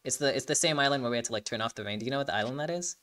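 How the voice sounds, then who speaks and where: A very quick, bright voice hosting a casual breakdown video. The delivery is enthusiastic and rambling, with phrases stumbled over and restarted as the thought forms. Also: medium pitch. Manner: rambling, stumbling, repeats phrases while searching for words. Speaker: video host. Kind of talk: casual reaction and breakdown commentary.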